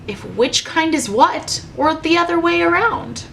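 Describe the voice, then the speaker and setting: A woman's voice: very high voice, lively intonation, measured pace, creaky, a bit bright, a little hiss or hum, voice pushed up, full character voice. A woman voicing snooty, indignant storybook creatures with a haughty, groaning delivery. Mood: indignant, dismayed. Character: snooty star-bellied Sneetches, indignant superior beach creatures.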